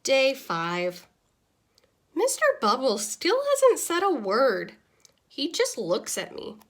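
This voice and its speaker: Casting: female reader playing a grumpy little storybook goldfish, slow and dramatic delivery, slightly high pitch. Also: exaggerated voice. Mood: flat, bored, then wry, disgusted. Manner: deliberate, dramatic, expressive. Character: small grumpy, easily exasperated pet goldfish narrating a diary. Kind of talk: expressive children's picture-book read-aloud.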